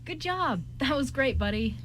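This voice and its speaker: A woman's voice: high-pitched, animated, moderate pace, a bit bright, a little hiss or hum. A woman playing an eager, friendly but awkward groom in an amateur radio drama, hesitant and full of ums. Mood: encouraging.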